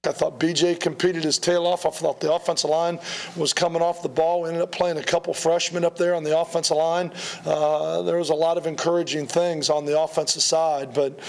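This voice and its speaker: A man, a football coach, giving measured, halting post-game remarks. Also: fairly high pitch.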